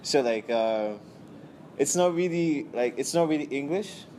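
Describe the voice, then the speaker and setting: A young man from Nigeria, a university student answering interview questions in a relaxed, casual way, often checking in with "right?". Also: a little high, measured pace, a little hiss or hum. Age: young adult. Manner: relaxed, tags sentences with "right?".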